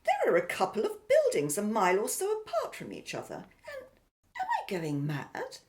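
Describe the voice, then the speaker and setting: A woman's voice: fairly high pitch, wildly swooping pitch, normal speed. An actress playing a plucky, playful woman explorer in an old-fashioned, exclamatory radio adventure style. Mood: amazed. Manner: exclamatory, old-fashioned jolly British period speech. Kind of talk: radio adventure drama acting.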